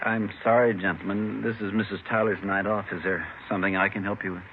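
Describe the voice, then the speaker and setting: A man plays a courteous, slightly fussy office manager from a vintage radio drama. His voice is fairly low, mild and hesitant. The old recording is muffled with a little hiss. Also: little pitch movement, fast talker, close to an everyday voice. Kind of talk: 1950s radio drama acting.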